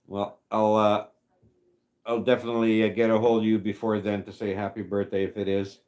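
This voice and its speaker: A man in his fifties hosting a casual livestream chat, rambling and halting with many fillers as he answers viewers. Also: fairly low pitch, flat delivery, darkish tone. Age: 50s. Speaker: livestream host reading chat.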